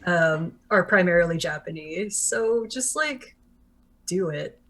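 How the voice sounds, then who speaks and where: A woman's voice: fairly low pitch, lively intonation, slow pace, fairly bright tone. A woman guest on a podcast panel, making thoughtful suggestions. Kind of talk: casual panel discussion.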